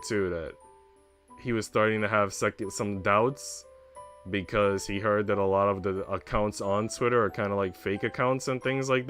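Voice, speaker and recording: A man's voice: fairly low pitch, a bit bright. A man streaming in a casual chat style, talking in a slangy, informal way. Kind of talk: casual stream chat and read-aloud of a visual novel.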